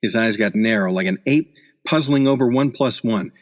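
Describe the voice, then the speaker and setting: A man's voice: mid-range voice, normal speed, long reverb tail, close to an everyday voice. An actor playing a cocky, sardonic hard-boiled newspaper reporter in a noir radio play, full of tough-guy slang. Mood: cocky, teasing.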